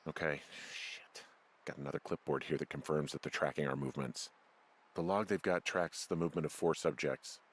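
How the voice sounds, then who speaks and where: An American actor playing a wary, rattled fire lookout in a video game, with a halting, naturalistic conversational delivery. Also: male voice, rumbling low, steady tone, breathy edge. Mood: puzzled, uneasy. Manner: halting, conversational, swearing under stress. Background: background music.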